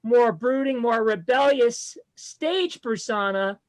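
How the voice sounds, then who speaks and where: High-voiced guy in his 50s doing deadpan stand-up on a video call, pokes fun at himself. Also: slow pace. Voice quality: very high voice for a man. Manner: self-deprecating, deadpan joke delivery. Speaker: comedian. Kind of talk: stand-up comedy set.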